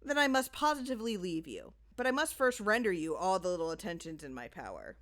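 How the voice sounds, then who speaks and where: Vengeful nobleman acted by a woman: cold, deliberate, mock-polite, sinister, for gothic audiobook. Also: fairly low pitch, very animated, fairly bright tone. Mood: mock concern. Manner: mocking, deliberate, falsely courteous.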